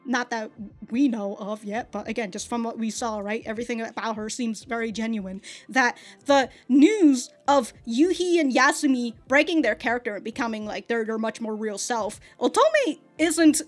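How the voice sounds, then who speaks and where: A woman's voice: a little high, moderate pace, soft background music. A woman hosting an anime reaction channel, talking casually with loose slang and frequent laughs. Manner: chatty, laughs often, loose slang and swearing. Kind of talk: casual commentary.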